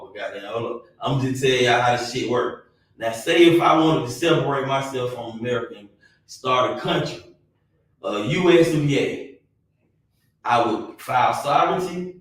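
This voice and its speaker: A man, hosting a heated casual debate and talking forcefully, with emphatic repetition. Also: medium pitch. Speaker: stream host. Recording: echoey room, mic at a distance.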